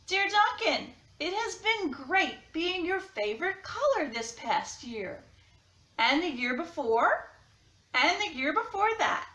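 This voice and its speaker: Woman voicing a little worn-out crayon, slow and high. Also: very animated, pushed and bright, reverberant, full character voice. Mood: weary, plaintive. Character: short, stubby, worn-out blue crayon needing a break. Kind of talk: read-aloud storybook character.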